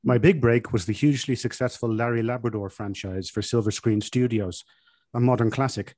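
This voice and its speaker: A man reading a scripted comic monologue aloud in a deadpan, self-satisfied manner. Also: mid-range voice, brisk, no echo, clean studio sound.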